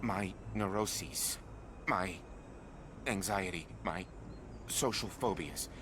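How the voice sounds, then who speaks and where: A man playing a troubled, defensive scientist, speaking in a halting, sardonic and anxious way. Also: sits low, heavy background noise. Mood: guarded, strained.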